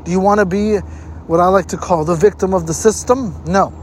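A man's voice: sits high, fairly even intonation, fairly quick, a little mellow, slight background noise. A man hosting a motivational health-tips talk, speaking emphatically and repeating points for stress. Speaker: host giving health tips. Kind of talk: motivational advice talk to camera.

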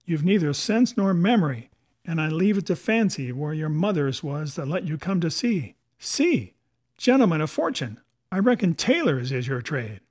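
A man's voice: a little high, sing-song, normal speed, darkish tone. A man voicing a cunning, defiant pirate captain in a storybook, with sarcastic questions and rustic sailor's talk. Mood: bitter, scornful. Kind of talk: dramatic audiobook character voice.

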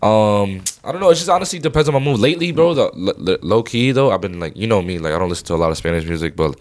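A man's voice: fairly low pitch, animated, rapid-fire. A young man co-hosting a casual podcast among friends, slangy and rambling, with lots of fillers and repeats. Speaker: podcast co-host.

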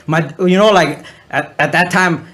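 A man's voice: high-pitched, measured pace, fairly bright tone. A young man from Southern California whose first language was Spanish, chatting casually as a guest, full of slang and fillers. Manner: loose street slang, many fillers. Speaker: guest, musician. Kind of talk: casual podcast interview.

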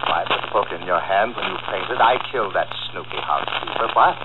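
A scheming, murderous cousin in a radio mystery. He is smooth and mock-polite with cold menace underneath, and his delivery swings widely in pitch. The old recording is narrow and heavily crackled. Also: lively intonation, a little fast. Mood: coldly menacing.

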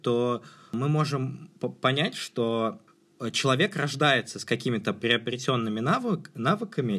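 Мужчина, вдумчиво рассуждает о кино. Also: male voice, mid-range voice, fairly bright tone, husky. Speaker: podcast co-host. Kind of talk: conversational film discussion.

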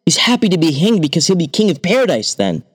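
A man's voice: a little high, sing-song, fast, breathy edge, voice pushed up. A man voicing a simple hangman in a folk tale, eager and chatty. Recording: long hall reverb from a distance.

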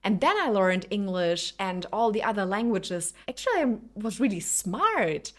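A woman's voice: mid-range voice, very animated, normal speed. A woman whose native languages are Lithuanian and Russian gives an earnest, reflective motivational talk, often putting questions straight to the listener. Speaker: spiritual self-help speaker.

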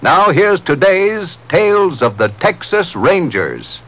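A man's voice: high-pitched, very animated, slow, fairly bright tone. An American man reading a network promotion as a 1950s radio announcer. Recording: old 1950s radio broadcast recording.

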